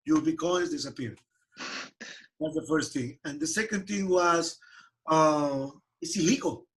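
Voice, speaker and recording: A man from Peru with a Spanish accent, speaking non-native English as a company founder telling his story in an interview, with animated, broken phrasing. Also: fairly high pitch, measured pace, low-quality recording. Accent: Peruvian Spanish. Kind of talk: casual interview answers.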